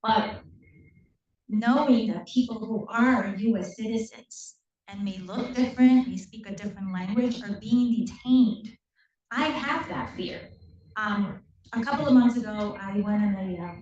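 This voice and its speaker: A woman's voice: mid-range voice, unhurried, a little mellow. Earnest woman in an echoey chamber. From Puerto Rico. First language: Spanish. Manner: earnest, personal, with frequent ums. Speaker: council member. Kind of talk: council meeting statement. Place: council chamber. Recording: echoey chamber, distant dull mic.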